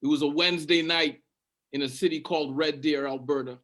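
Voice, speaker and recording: Canadian guy doing stand-up, kinda high bright voice, casual sweary storyteller. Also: fairly even intonation, a little fast. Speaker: stand-up comedian.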